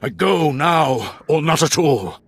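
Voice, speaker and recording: Dying Sith lord, game villain, heavily raspy, swooping theatrical delivery, desperate. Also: male voice, on the high side, expressive, dry recording, heavily acted. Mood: desperate resolve. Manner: theatrical, grave, halting dramatic delivery. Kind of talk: dramatic recorded monologue.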